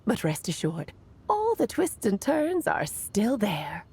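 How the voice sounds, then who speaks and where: A woman voicing a playful, knowing witch in a game, with a husky voice and a teasing, wry manner. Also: medium pitch, huge pitch swings, slow, warm tone, heavily acted.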